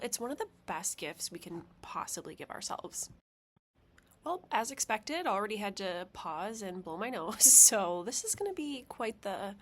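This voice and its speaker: A woman's voice: medium pitch, gravelly, fairly bright tone. A young adult woman therapist hosting a casual solo podcast, chatty and self-deprecating with frequent small laughs, her nose stuffy from allergies.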